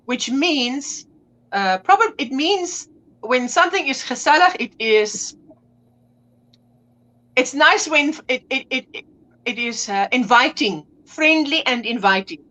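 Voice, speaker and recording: A woman's voice: high voice, animated, unhurried, bright, forceful tone, rough recording. A woman with an Afrikaans accent, a native Afrikaans speaker, chatting as a guest on a livestream and often searching for the right English word. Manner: chatty, searches for words and restarts. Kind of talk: casual livestream chat.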